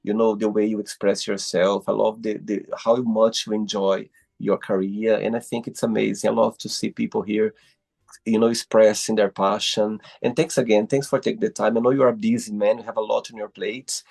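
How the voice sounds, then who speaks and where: A man's voice: lowish voice, level tone, fairly quick, gravelly, a little mellow. A Brazilian man in his thirties, a native Portuguese speaker with a Brazilian accent, hosting a casual interview with effusive, repeated praise for his guest. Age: 30s. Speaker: host.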